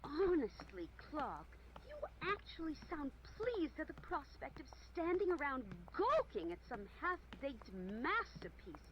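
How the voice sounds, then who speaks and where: A woman acts out a scornful young newspaperwoman in an old radio drama. Her voice sits fairly high and swings with exasperation. The sound is dull, with a little background noise. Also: big pitch swings, unhurried, few pauses. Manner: exasperated, sarcastic, crisply spoken. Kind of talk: 1940s radio acting. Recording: muffled, noisy audio with background ambience.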